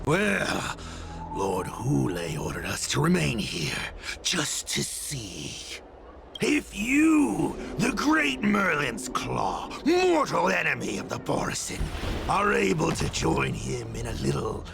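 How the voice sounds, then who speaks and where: Gravelly, wildly swinging savage beast villain. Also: male voice, a little high, wildly swooping pitch, noisy background, full character voice. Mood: menacing gloating. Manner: slow, theatrical, taunting delivery. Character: savage gloating male beast-man hunter, murderous and taunting. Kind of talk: video game voice acting.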